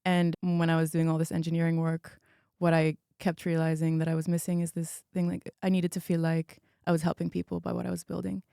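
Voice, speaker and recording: A woman's voice: on the low side, monotone, fairly quick, gravelly, warm tone, clean tone, dry recording, studio quality. A woman from Bahrain speaking as a podcast guest in a casual round-table discussion. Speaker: podcast guest, product manager.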